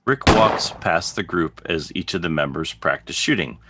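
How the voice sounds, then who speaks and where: Deep-voiced man narrating stage directions. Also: lively intonation, moderate pace, heavy background noise. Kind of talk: scripted narration for an online comic table read. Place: video call. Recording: online video call.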